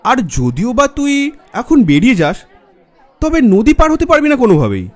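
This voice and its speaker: An actor playing a caring, nagging young bridegroom friend in a horror audio drama. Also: male voice, very high voice, wildly swooping pitch, normal speed, full character voice. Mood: worried, cautioning. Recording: music and faint crowd behind. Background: music, faint crowd murmur.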